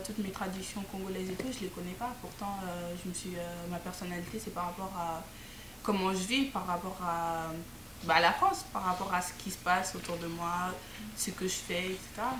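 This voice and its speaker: Halting teen girl in an echoey room. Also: lowish voice. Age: teens. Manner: halting, frequent "euh" pauses. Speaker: student in a group discussion. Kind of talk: casual debate. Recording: echoey room with background noise.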